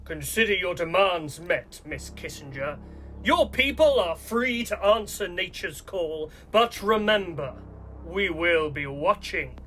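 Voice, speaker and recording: An actor playing a courteous but menacing male villain who bosses elves in a radio play, grandiose, formal and measured. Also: high voice, lively intonation, fairly bright tone, soft background music, heavily acted. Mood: coolly courteous.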